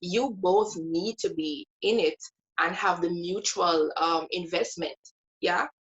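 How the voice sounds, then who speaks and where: A woman's voice: on the low side, slow, a bit bright, highs cut off. A woman guest sharing her Bible study in a casual faith conversation. She speaks earnestly and with emphasis, stretching out key words like a teacher.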